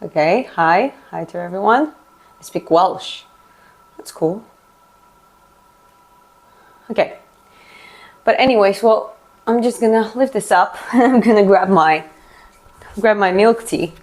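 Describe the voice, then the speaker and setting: A woman's voice: mid-range voice, takes it slowly, pauses often. A woman from Georgia whose first language is Russian, chatting casually on a live stream, answering viewer comments and explaining as she stretches, often restarting her words.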